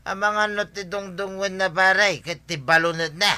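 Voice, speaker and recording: A proud, teasing drinking buddy with an Ilocano accent in radio comedy. His voice is very bright and forceful, with a clean, resonant ring. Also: high voice, steady tone, normal speed, clean tone. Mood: chummy, teasing. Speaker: actor in a radio comedy drama.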